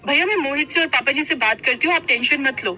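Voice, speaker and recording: A woman voicing a gentle, dutiful young stepsister in a cartoon family story. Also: sits high, fast, pitched above the performer's own voice. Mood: concerned.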